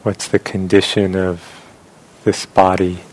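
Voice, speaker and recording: A man leading a guided meditation as a teacher, speaking gently and with long pauses. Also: deep voice, little pitch movement, poor audio.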